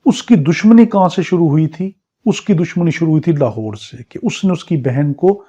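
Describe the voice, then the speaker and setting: A man's voice: on the high side, animated, normal speed, dark tone, slightly rough. A man with a Pakistani accent lecturing as a law teacher in an exam crash course, drilling points by repetition and rhetorical questions. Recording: dull, lo-fi muffled audio.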